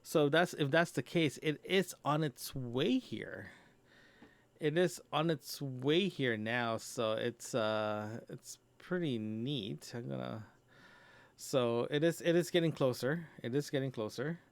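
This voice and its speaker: A man hosting a personal audio log, talking casually off the cuff in a halting, hesitant way and often repeating his phrases. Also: mid-range voice, vocal fry. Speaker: podcast host recording a personal audio log. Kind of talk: casual off-the-cuff diary narration. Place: indoors in an office.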